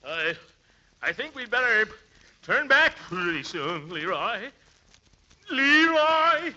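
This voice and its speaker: A man's voice: extremely high pitch, big pitch swings, takes it slowly, pushed and bright, exaggerated voice. An actor playing a pompous, sheepish, overweight middle-aged uncle in 1940s radio comedy, hemming and chuckling as he blusters. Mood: winded, complaining. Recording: muffled vintage radio recording.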